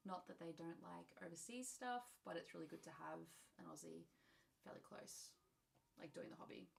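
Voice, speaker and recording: A woman's voice: on the low side, moderate pace, vocal fry, slight background noise. A woman co-hosting a live stream who reads the chat messages aloud in a light, playful way. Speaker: co-host reading the live chat.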